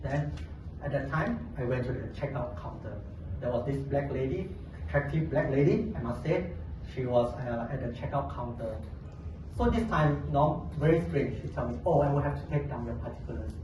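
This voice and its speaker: A man from Thailand, giving a humorous contest speech with playful, deadpan storytelling. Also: medium pitch, moderate pace, highs cut off. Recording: echoey hall, distant mic, audience noise.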